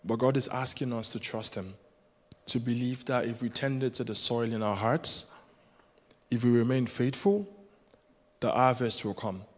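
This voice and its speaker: A man's voice: mid-range voice, unhurried, long pauses. A man whose first language is Yoruba, preaching a sermon as a guest preacher in an earnest, rhythmic way that builds with repetition. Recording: long hall reverb.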